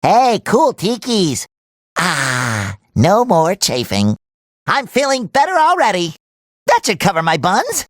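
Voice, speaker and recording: A man voicing a cheerful, naive, excitable young male cartoon sea sponge in a video game, with a nasal, squeaky, childlike and eager delivery. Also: slow, no room sound, studio quality, heavily acted. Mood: chatty, optimistic.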